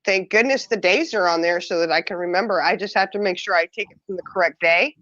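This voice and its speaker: Female co-host, playful dry joker, deadpan teasing, dead-dry slightly muffled mic. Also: fairly low pitch, fast talker, no room sound. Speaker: podcast co-host. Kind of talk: casual chat.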